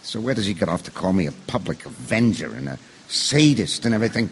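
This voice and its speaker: A man playing an excitable, indignant, self-righteous juror in a stage drama, stammering and emphatic as he defends himself. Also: on the low side, fast, husky, big-room sound, exaggerated voice. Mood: defensive, worked up.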